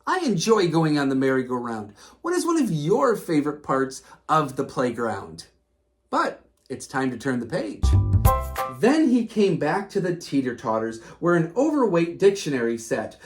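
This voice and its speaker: A woman's voice: deep voice, sing-song, normal speed, soft background music. A woman narrating a read-aloud storybook for young children.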